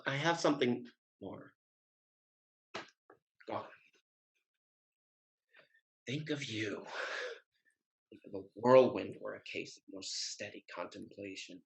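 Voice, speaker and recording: Man acting a wry, lovesick gentleman suitor. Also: fairly low pitch, slow, gravelly, long pauses, low-quality recording. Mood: exasperated. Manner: formal, sententious, wry. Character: witty, lovesick gentleman suitor, earnest and sardonic. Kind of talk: Restoration stage comedy acting.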